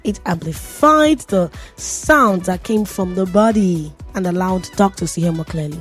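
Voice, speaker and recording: A woman's voice: on the low side, lively intonation, unhurried, soft background music. A woman with a Nigerian accent hosting a radio show, talking in a chatty, playful way and often laughing. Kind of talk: casual radio tech talk. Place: radio studio.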